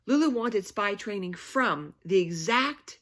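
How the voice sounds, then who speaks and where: A woman's voice: medium pitch, lively intonation, slow pace, a bit bright. A woman reading a children's story aloud dramatically, with chatty asides and questions to her listeners.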